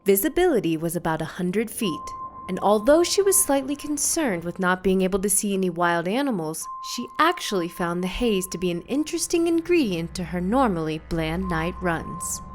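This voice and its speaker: Woman narrating suspense fiction, animated pitch. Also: moderate pace, soft background music. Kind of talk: scripted suspense story narration.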